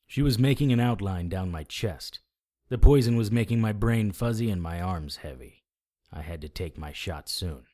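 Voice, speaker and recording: An actor playing a battered, wry private investigator, narrating in the first person in an audio drama. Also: male voice, sits low, lively intonation, moderate pace, dark tone. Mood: strained wry. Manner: dry, hard-boiled.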